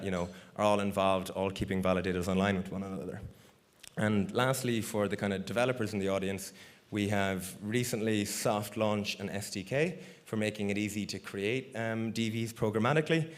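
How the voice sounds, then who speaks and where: Lowish guy on a conference stage mic, casual and rambly, lots of you knows. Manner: casual, loose, full of fillers like "you know" and "like". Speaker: conference speaker. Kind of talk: conference talk with audience Q&A. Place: large conference hall stage.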